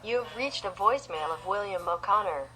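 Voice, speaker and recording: A woman's voice: mid-range voice, expressive, normal speed, bright, forceful tone, soft background music, rough recording. A woman playing a formal recorded voicemail greeting in a radio play. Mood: neutral.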